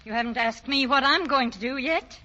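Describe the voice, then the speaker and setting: Firm, high-voiced mother. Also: brisk, sharp tone, highs cut off. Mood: stern. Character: strong, firm middle-aged mother of grown sons. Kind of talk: 1940s radio acting.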